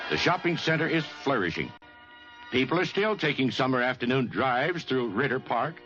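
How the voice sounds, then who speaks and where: A man's voice: medium pitch, a bit bright. A man delivering polished, scripted narration in the style of old radio. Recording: dull old radio recording. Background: music.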